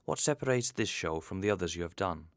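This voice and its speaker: A man's voice: low-pitched, lively intonation, fast talker, dry recording, studio quality. A man hosting an interview series, reading formal, scripted introductions and questions.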